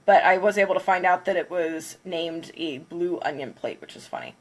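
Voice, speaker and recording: A woman's voice: fairly low pitch, moderate pace. A congested woman chatting casually on a livestream, counting down before smashing plates. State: congested from a cold. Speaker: craft livestreamer.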